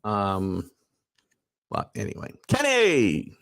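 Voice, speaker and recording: A man's voice: lowish voice, slow, clean tone. A man in his sixties hosting a sports talk stream, rambling with many fillers and restarts, then building into emphatic rants as he answers viewer comments. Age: 60s. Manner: animated, rising into emphatic rants. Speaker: sports talk show host. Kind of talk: livestream chat reading viewer comments.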